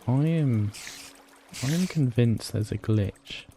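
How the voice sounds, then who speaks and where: Slow croaky man with a gravelly voice. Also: mid-range voice, warm tone. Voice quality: croaky, weak voice. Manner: rambling, hesitant, many fillers and throat clearing. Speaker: let's play gamer. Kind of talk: casual gameplay commentary. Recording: noisy home recording.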